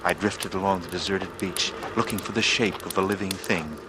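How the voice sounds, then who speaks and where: A man's voice: sits low, steady tone, moderate pace, lightly acted. An actor playing a brooding, bitter man with murder hidden behind a casual front, in a 1940s radio drama. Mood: brooding, haunted. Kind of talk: 1940s radio drama acting, first-person narration and dialogue. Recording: noisy old radio broadcast recording.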